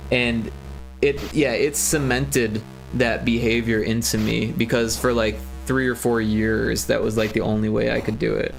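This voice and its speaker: A man's voice: mid-range voice, creaky, poor audio. A man in his forties, a game developer chatting casually on a livestream, rambling with lots of fillers and restarts. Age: 40s.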